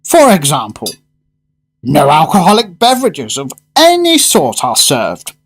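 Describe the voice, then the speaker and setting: An actor playing a fussy, fervent gourmet in a radio drama, speaking in grandiose, emphatic phrases. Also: male voice, on the high side, huge pitch swings, unhurried, a bit bright, husky, full character voice. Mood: earnest, lecturing. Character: fussy, fervent gourmet diner, a well-spoken man.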